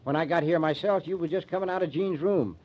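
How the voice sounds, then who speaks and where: An actor playing a gruff, skeptical small-town sheriff in an old-time radio drama, folksy and plain-spoken, with an American accent. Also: male voice, on the high side, fast talker, lightly acted. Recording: dull, lo-fi old radio recording.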